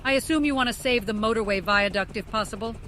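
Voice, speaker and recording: Woman playing a self-assured volcano expert in an audiobook, high sharp voice, matter of fact, bit of background noise. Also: fast, lightly acted. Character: tall, unflappable, assertive female volcanologist. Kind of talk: audiobook character dialogue.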